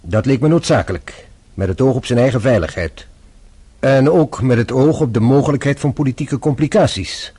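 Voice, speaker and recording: Man playing French police inspector, radio drama, shrewd, formal, courteous, measured questioning, mid-pitched male voice. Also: dark tone, husky, close to an everyday voice. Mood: dry, measured. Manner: formal, measured, politely probing.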